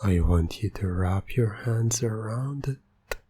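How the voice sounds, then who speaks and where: A man playing a teasing, domineering hypnotist in an ASMR roleplay, breathy and often near a whisper, with a deliberate, commanding manner. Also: on the low side, dark tone, a little hiss or hum. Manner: slow, deliberate, commanding, taunting. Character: teasing, domineering male hypnotist, smug and in control. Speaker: roleplay audio performer.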